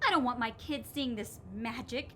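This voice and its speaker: A woman's voice: fairly high pitch, moderate pace, pushed and bright, a little hiss or hum. An actress playing a self-righteous, accusatory mother complaining at a town meeting in an audio drama. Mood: indignant. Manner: indignant, accusatory.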